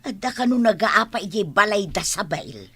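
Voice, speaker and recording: A woman's voice: medium pitch, lively intonation, moderate pace, pushed and bright, faint music behind. A woman with an Ilocano accent acting a gossipy village neighbour in a radio comedy drama.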